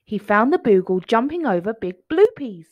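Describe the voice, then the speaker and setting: A woman reads a storybook aloud for children. Her voice is fairly high and very animated, recorded close and dry. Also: moderate pace, no room sound, lo-fi sound.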